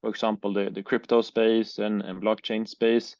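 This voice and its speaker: A man answers interview questions in a low, gravelly voice. He hesitates often, filling gaps with uh and um and repeating words. The recording is dry and slightly muffled. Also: flat delivery, moderate pace, darkish tone, dry recording. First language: Swedish. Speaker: guest, business owner. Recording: dry, dull lo-fi sound.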